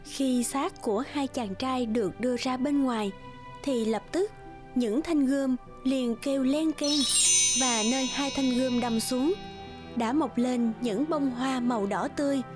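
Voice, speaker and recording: A woman's voice: high voice, unhurried. A woman narrator reading scripted fairy tales in a storytelling style. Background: music.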